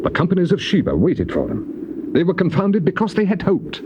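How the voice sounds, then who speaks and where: Tormented biblical sufferer, theatrical declamation, hugely varied pitch, bitter pleading, noisy muffled tape. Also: male voice, huge pitch swings, normal speed. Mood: impassioned pleading. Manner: declamatory, archaic diction. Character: afflicted man in despair, anguished, bitterly pleading. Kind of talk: dramatized scripture reading, stage-trained acting.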